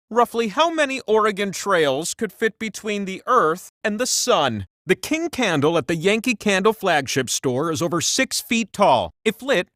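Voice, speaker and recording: An omnipotent, cheeky wheel hosting a trivia video game. The voice sits high and swings theatrically up and down, mixing quiz-show narration with wry asides. It is recorded close and very dry. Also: male voice, a bit bright, dry recording.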